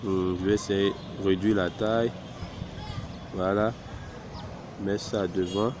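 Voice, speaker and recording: A man with a cold walking through a software tutorial step by step, hesitant and unhurried as he clicks through each setting. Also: deep voice, steady tone, noisy background. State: has a cold. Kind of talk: screen-recorded software tutorial.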